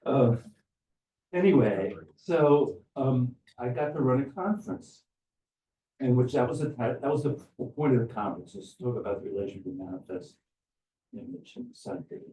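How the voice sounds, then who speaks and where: An older man giving informal, rambling remarks to a gathering, often halting and restarting his phrases. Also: mid-range voice, animated, warm tone. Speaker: academic philosopher and speaker at a conference. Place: echoey room at a conference. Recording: roomy, distant microphone, faint background noise.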